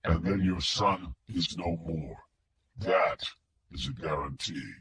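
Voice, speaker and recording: A man voicing a menacing male kidnapper who speaks through a voice filter, with slow, cold, flat threats. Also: low-pitched, husky, no echo, pitched below the performer's own voice, heavily acted. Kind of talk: audiobook narration.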